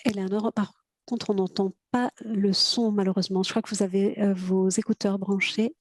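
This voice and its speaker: A woman interpreting a conference talk simultaneously over a video call. She speaks haltingly, with many restarts and fillers. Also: mid-range voice, steady tone, no echo, studio quality.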